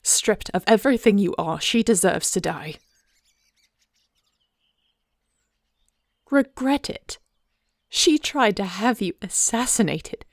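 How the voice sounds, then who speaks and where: A woman's voice: mid-range voice, animated, unhurried, no echo, clean studio sound. A woman playing a devoted dragon queen and wife, fierce yet tender, speaking formally and intimately in an audio roleplay. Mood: cold fury. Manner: intimate, formal storybook speech.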